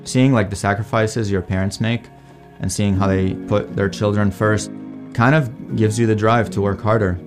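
A man's voice: fairly low pitch, fairly even intonation, moderate pace, over music. A young man from Iraq, a PhD student, telling his personal story in an earnest, reflective way. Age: young adult. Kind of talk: edited personal testimonial.

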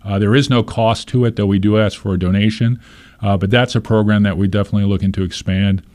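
A man's voice: fairly low pitch, fairly even intonation, fast, a little mellow, no echo. A man who hosts a podcast, answering interview questions in a conversational way with many fillers and restarts. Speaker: podcast host, here the guest.

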